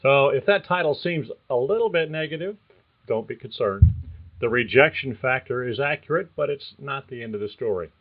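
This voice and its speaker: An older man hosts his career podcast with a folksy, reassuring coaching manner. His voice sits at a middle pitch for a man. The recording sounds a little dull and lo-fi. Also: lively intonation, normal speed. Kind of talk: scripted podcast monologue.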